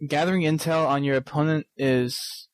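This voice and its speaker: A man co-hosting a podcast, reading listener emails aloud haltingly, with frequent ums and restarts. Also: fairly high pitch, steady tone, no echo. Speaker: podcast co-host.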